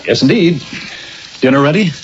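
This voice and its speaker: An actor playing an upbeat, self-assured executive husband in an old-time radio drama, jocular and wordy, with a mock-pompous way of reasoning. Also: male voice, fairly high pitch, expressive, moderate pace, over music, close to an everyday voice. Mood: cheerful, teasing. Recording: muffled, noisy old radio broadcast.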